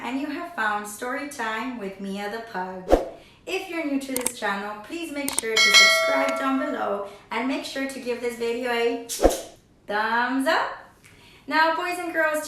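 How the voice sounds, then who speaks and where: A teacher hosting a children's story channel, speaking sweetly and encouragingly to young listeners. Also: female voice, fairly high pitch, sharp tone, slight background noise. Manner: sweet, encouraging, talks to kids. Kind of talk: warm address to young children. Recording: echoey room.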